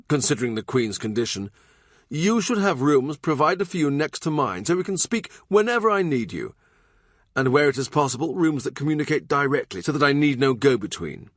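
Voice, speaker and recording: A man's voice: mid-range voice, big pitch swings, moderate pace, no echo, voice pushed up. Guy reading as a bossy gracious king, middle aged, raised commanding voice, audiobook style, very dry sound. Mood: magnanimous, commanding. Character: imperious, gracious middle-aged English king addressing his servant. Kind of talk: audiobook character dialogue.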